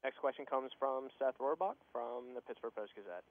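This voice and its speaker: A man's voice: mid-range voice, moderate pace, vocal fry. A man moderating a conference call with formal announcements, heard over a phone line. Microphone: telephone.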